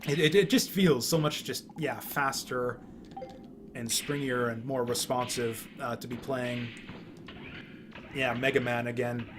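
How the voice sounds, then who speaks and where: A man giving casual, rambling video game commentary, often halting and repeating words as he goes. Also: mid-range voice, steady tone. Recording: clear background noise.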